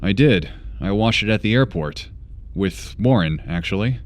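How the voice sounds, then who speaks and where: An actor playing a serious, restrained veteran hero in an audio drama, terse and sober. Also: male voice, on the low side, lively intonation, normal speed, natural voice.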